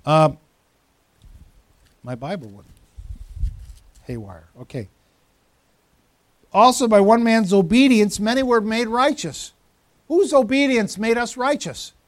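A man's voice: high voice, expressive, slow pace, pauses often, no room sound. A pastor in his fifties giving a conversational sermon, teasing members of the congregation by name. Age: 50s. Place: church.

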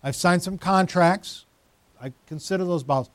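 A man's voice: sits high, a little slow, no echo. A pastor in his fifties giving a conversational sermon, teasing members of the congregation by name. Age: 50s. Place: church.

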